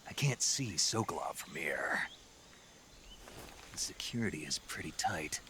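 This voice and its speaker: An American man playing a gruff, terse special forces soldier in a video game cutscene, gravelly and dry. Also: animated, unhurried, background noise. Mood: hushed, businesslike. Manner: terse, low-key, dry.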